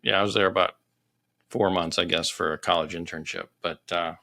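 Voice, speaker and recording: A man's voice: deep voice, steady tone, fairly quick, creaky, sharp tone. A man hosting a casual interview show, greeting guests with upbeat enthusiasm. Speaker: podcast host.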